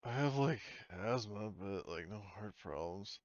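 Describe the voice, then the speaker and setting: A man with a smooth, clear, fairly low voice narrating as game master. His phrasing is loose and casual, and the audio is dry and a little dull. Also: expressive, measured pace, no echo.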